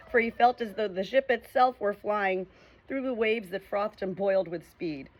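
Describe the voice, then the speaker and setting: A woman narrating a children's storybook as an audiobook, reading in a clear, steady way. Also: medium pitch, muffled recording.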